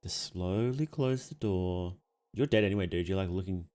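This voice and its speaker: A man's voice: low voice, normal speed, darkish tone. A man hosting a livestream, giving casual reaction commentary in a slangy, jokey, blunt way. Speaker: livestream host.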